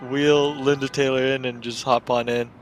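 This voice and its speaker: A man's voice: mid-range voice, flat delivery, moderate pace, soft background music, poor audio. A man playing a tabletop roleplaying game, casually describing his character's actions and hesitantly searching for words.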